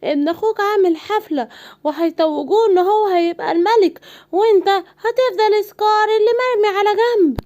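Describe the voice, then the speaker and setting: A woman's voice: extremely high pitch, fairly quick, darkish tone. An Egyptian woman voicing a scheming evil witch who taunts and gives orders in a children's cartoon. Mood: gloating. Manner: taunting, commanding.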